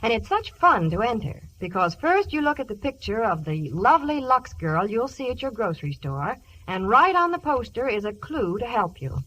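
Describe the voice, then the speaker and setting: A high-voiced American woman announces a scripted sales pitch in 1950s radio style. Her intonation swings widely, and it sounds like a vintage broadcast recording. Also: sing-song, normal speed, hardly any pauses, a bit bright, rough recording.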